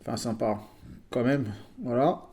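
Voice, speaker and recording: Chatty French collector with a mellow voice. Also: male voice, medium pitch, a little slow. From France. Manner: chatty, hesitant with many fillers. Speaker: toy vehicle collector and channel host. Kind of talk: casual video presentation.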